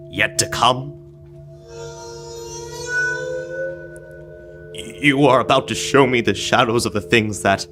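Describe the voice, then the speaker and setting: A young man playing a frightened, repentant old miser in a radio drama, halting and theatrical as he pleads. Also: mid-range voice, fairly bright tone. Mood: fearful, halting.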